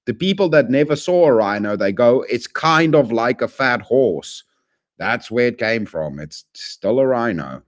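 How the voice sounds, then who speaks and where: A man chatting casually on a live stream, talking to his chat in a rambling way that swings from loud to mumbled. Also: mid-range voice, expressive, measured pace.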